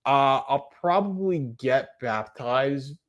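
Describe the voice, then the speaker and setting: A man, hosting a live stream in casual chat, rambling and hesitant with many fillers and restarts, earnest and softly amused as he answers chat. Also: medium pitch, expressive, slow, dull audio. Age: 20s. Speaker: livestream host.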